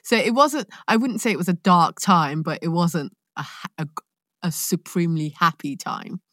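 A woman's voice: fairly low pitch, moderate pace, a bit bright, no room sound, clean studio sound. A woman from London with a London accent, chatting informally and expressively, reflective with playful asides. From London, England, born in Nigeria. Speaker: podcast guest, actor. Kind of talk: casual chat.

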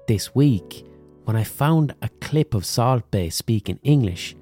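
Middle-aged Limerick man, comic podcast host, swears freely, slips into put-on accents, faint music behind. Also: lowish voice. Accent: Limerick, Irish. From Ireland. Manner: sweary, animated storytelling with mock accents. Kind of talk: comic monologue.

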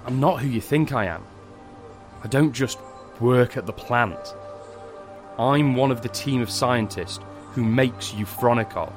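An actor playing a guilt-ridden scientist, speaking haltingly and gravely in a science fiction audio drama. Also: male voice, mid-range voice, natural voice. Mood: confessing. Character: guilt-ridden male scientist confessing a secret. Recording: music under the dialogue.

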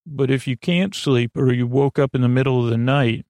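Male sleep-podcast host, gravelly voice, dry close sound, meandering and reassuring. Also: mid-range voice, moderate pace, darkish tone, no room sound. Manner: meandering, goofy, filler-heavy. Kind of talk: rambling bedtime monologue.

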